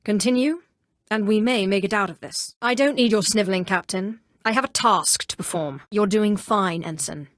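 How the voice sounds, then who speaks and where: Casting: actress as haughty female dark lord for a video game, controlled menace, commanding delivery, close dry sound. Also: medium pitch, normal speed, no echo, lightly acted. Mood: imperious. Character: imperious, coolly menacing female Sith sorceress of rank. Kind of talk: scripted video game voice acting.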